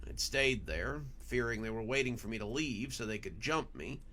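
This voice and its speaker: A man's voice: medium pitch, expressive, normal speed, sharp tone, slight background noise. A man narrating a scripted first-person horror story as its storyteller.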